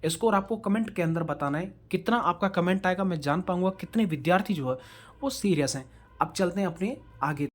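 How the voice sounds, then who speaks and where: A man's voice: high-pitched, expressive, a little fast. Male exam teacher, question-and-answer drilling. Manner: asks questions, then answers and repeats them; addresses viewers directly, coaching. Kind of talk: quiz-style lecture for online students.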